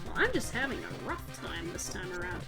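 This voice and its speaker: A woman's voice: lowish voice, big pitch swings, fairly quick. A woman hosting a let's play, giving casual gameplay commentary in a chatty, self-deprecating way. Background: video game music.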